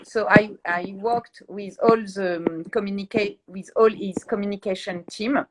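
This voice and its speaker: A woman's voice: mid-range voice, fairly even intonation, unhurried, noisy background. A middle-aged woman from France with a French accent, a guest explaining her fashion PR work in a live interview, searching for her words in English.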